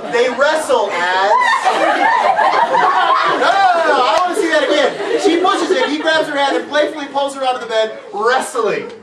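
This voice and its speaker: A man narrating stage directions in a comedic amateur script reading, animated and amused, breaking off into asides. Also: extremely high pitch, normal speed. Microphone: far camera. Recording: echoey, noisy room with crowd, distant.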